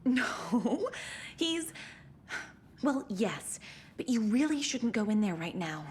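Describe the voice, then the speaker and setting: A prim, evasive local woman in a cinematic game, placating and easily flustered. Her highish voice swings animatedly but moves slowly, with faint noise behind it. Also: very animated. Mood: nervous, reluctant. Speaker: video game voice actor. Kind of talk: cinematic video game acting.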